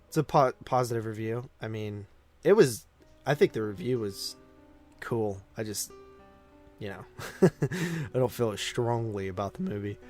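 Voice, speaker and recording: A man's voice: lowish voice, expressive, measured pace, pauses often, darkish tone, soft background music. A man co-hosting a podcast, chatting casually about movies in loose, conversational phrasing that often circles back on itself. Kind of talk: casual film review chat.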